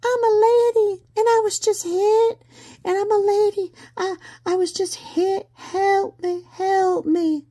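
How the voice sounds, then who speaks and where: A woman's voice: very high-pitched, steady tone, slow pace, mellow, voice pushed up. A woman putting on a melodramatic, whiny, pleading fake damsel in distress, as a mocking impression during a livestream. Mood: feigned panic. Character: fake helpless damsel in distress crying for help.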